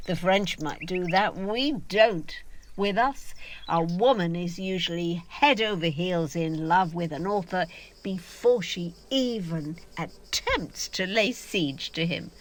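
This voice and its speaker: A middle-aged woman playing a vain, domineering actress in an audio drama, with a grand, emphatic, self-satisfied manner. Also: lowish voice. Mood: lofty.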